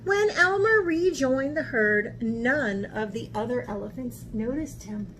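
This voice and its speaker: High-pitched woman reading slowly to children. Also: slight background noise, low-quality recording. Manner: animated, asks listeners questions. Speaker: art teacher and host. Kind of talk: children's picture-book read-aloud.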